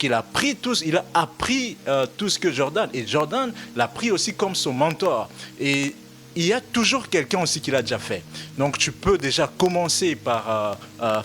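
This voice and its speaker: A man offering casual, practical advice in a group discussion, in a halting, step-by-step way. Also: fairly high pitch, a bit bright. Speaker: podcast panelist.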